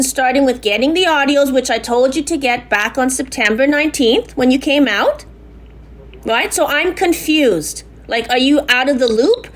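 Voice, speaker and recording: Loud, insistent high-voiced woman caller. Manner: insistent, repeats herself, talks over the other side. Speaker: caller making a complaint. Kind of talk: heated phone argument. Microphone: phone call.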